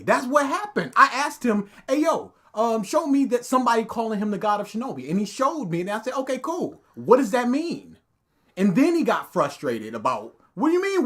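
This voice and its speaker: Laughing, animated young man. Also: very high voice. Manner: laughs often, loud animated outbursts. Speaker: co-host reacting to a video. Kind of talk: casual reaction commentary.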